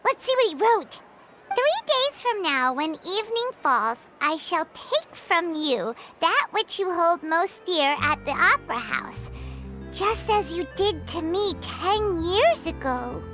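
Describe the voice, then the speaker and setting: Squeaky, bubbly little creature. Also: female voice, slow, exaggerated voice. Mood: reading aloud. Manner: excitable, squeaky. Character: tiny bubbly childlike female companion creature. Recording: game music behind. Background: game music.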